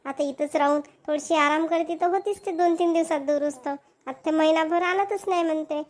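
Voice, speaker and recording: A woman's voice: sits high, steady tone, brisk, natural voice. A woman with a Vidarbha Marathi accent voicing a grumbling, self-pitying older mother-in-law in an amateur cartoon. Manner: nagging, complaining.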